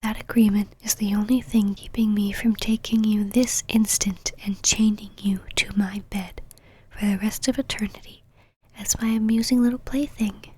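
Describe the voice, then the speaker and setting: Casting: actress for female vampire knight, ASMR roleplay. Breathy whisper, haughty, possessive, teasingly controlling. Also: mid-range voice, steady tone, a little mellow, no room sound, lightly acted, low-quality recording. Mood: stern, condescending. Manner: whispered, slow condescending endearments and commands.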